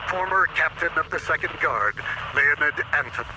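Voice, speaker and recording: A man's voice: on the high side, expressive, normal speed, background noise, close to an everyday voice. A man voicing a formal official broadcaster who lists wanted fugitives in a video game. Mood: stern, official. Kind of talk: formal scripted announcement.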